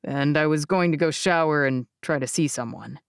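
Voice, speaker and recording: A woman voicing an easygoing, independent man in his twenties, a grandson in an audiobook novel, in a plain, low-key way. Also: normal speed, clean tone, no echo, clean studio sound. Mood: tired.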